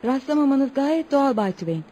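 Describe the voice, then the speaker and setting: A woman's voice: high-pitched, lively intonation, moderate pace, mellow. An actress in a Turkish radio play voicing a young Eskimo girl, formal and polite, proud and eager in a naive way. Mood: matter-of-fact. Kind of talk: Turkish radio theatre acting. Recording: noisy radio play recording.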